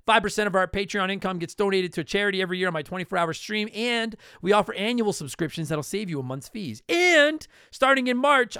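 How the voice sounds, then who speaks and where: A man hosting a podcast in a casual, joking, self-deprecating and blunt way, his voice slightly hoarse from a lot of talking. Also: high-pitched, fairly bright tone, dry recording, clean studio sound. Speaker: podcast host. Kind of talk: casual solo podcast talk with promotional plugs.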